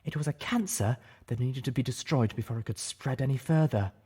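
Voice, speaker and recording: A man's voice: medium pitch, a little fast, mellow, breathy edge, voice pushed up, close to an everyday voice. A man voicing a fierce, righteous female unicorn in an audiobook, outraged and emphatic as if condemning.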